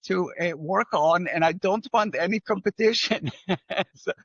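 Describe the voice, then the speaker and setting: A man's voice: high-pitched, measured pace, vocal fry, fairly bright tone. Older Israeli man, dull dry sound. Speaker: finance professor, guest. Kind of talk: conversational interview.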